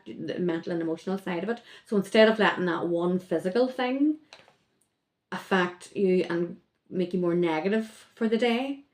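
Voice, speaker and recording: A woman's voice: on the low side, reverberant. A woman speaking as a podcast guest in casual conversation, rambling and correcting herself as she goes. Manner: rambling, self-correcting, lively.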